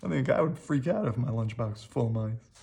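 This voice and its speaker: An expressive storybook reader with a very animated delivery. The pitch moves up and down freely, and key words get drawn out for dramatic emphasis, as in a bedtime read-aloud for children. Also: brisk, creaky.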